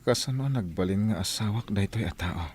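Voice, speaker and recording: A man's voice: lowish voice, a little fast, a little mellow, husky. A man with an Ilocano Filipino accent playing a bewildered, injured amnesiac in a radio drama. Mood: confused.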